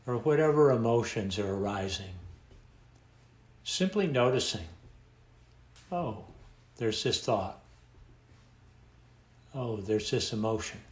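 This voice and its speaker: Guy leading a meditation, mid-pitched voice, calm n slow phrases w long pauses, roomy echo. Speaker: meditation teacher. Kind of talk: guided meditation. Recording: echoey room sound.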